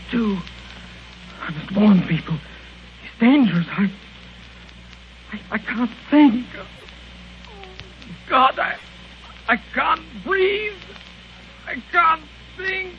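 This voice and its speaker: An actor playing a panicked, trapped doctor in 1940s radio melodrama. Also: male voice, extremely high pitch, exaggerated voice. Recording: muffled, hissy 1940 radio recording.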